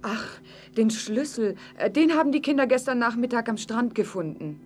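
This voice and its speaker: Worried mum played by a woman, children's audio play. Slightly high-pitched, earnest, concerned. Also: expressive, normal speed, darkish tone, faint music behind, close to an everyday voice. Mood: explaining. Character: worried mother of two missing children.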